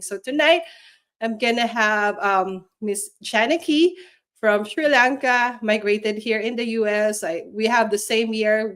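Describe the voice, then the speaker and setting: A woman hosting a livestream chat, upbeat and persuasive, pitched a touch high. She talks with plenty of fillers and restarts. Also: a bit bright. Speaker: host. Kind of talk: livestream interview.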